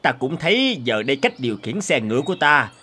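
Proud, commanding fairy-tale king, lively swings in pitch, boastful, animated cartoon, noisy backdrop. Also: brisk. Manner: imperious, boastful.

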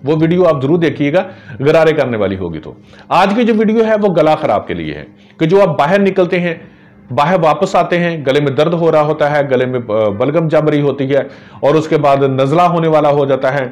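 A man's voice: fairly high pitch, expressive, normal speed, a little mellow, highs cut off. A middle-aged man, giving home remedy advice to camera as a herbalist, earnest and gesturing as he talks in a small office. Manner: earnest, gesturing, religious phrases. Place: small office with framed photos on walls.

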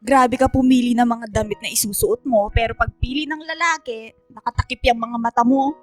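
Actress as sassy high-voiced young girlfriend in a group. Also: brisk, soft background music, natural voice. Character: sassy, candid young female friend, playfully scolding. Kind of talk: radio drama dialogue.

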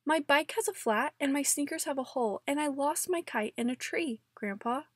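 Woman to play an eager young storybook grandchild, high voice, urgent and full of complaints. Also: normal speed, fairly bright tone, dry recording, clean studio sound. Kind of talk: storybook read-aloud.

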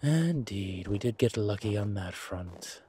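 A man playing a haughty, wry vampire gentleman in a roleplay audio drama, speaking formally and with refinement. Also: on the low side, lively intonation, slight background noise. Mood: wry, cautious. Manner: refined, formal, wry phrasing with hesitant pauses.

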